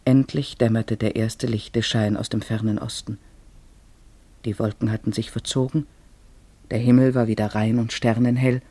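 A male narrator tells a literary story for a radio drama in a measured way. His mid-pitched voice is dark and slightly rough with husk, recorded very dry and close. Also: dry recording.

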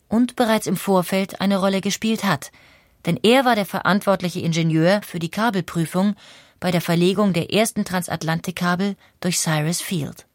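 A woman reading scripted documentary narration in an explanatory, measured way. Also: on the low side, no room sound, clean studio sound.

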